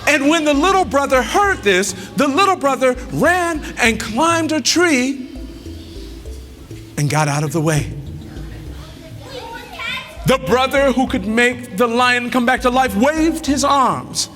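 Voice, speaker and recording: A man's voice: very high-pitched, big pitch swings, slow pace, long pauses, fairly bright tone, faint music behind. A man telling a folktale aloud to an audience in a dramatic way, calling out to his listeners. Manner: dramatic, call-and-response with the audience. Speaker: storyteller.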